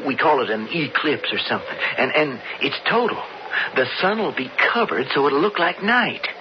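A man's voice: on the high side, lively intonation, moderate pace, lightly acted. An actor playing an earnest, anxious young western man in a radio drama. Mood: urgent hope. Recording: noisy muffled old radio broadcast.

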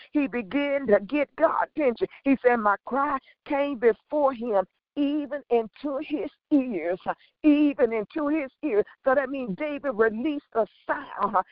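A woman preaches in a high voice, fervent and unscripted. She builds through short, repeated phrases, capping them with punchy interjections in Pentecostal style. The recording is dull and lo-fi, with a dry sound. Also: no echo. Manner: punctuates short phrases with breathy "huh" and "hey"; emphatic, repetitive phrasing with praise interjections.

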